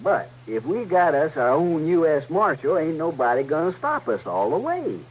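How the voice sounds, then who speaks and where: An actor playing a scheming Western outlaw leader in an old-time radio drama, with a rural American West accent and a folksy, commanding way of talking. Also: male voice, fairly high pitch, big pitch swings, normal speed, husky, low-quality recording. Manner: folksy, commanding drawl with tag questions. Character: domineering, scheming Western outlaw leader bossing his slow partner. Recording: old radio recording with faint hum and noise.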